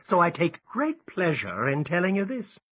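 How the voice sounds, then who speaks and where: An actor playing a haughty, spiteful British navy captain in an audio drama, pompous, measured and taunting. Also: male voice, sits high, wildly swooping pitch, fairly bright tone, full character voice. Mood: gloating. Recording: slightly dull recording.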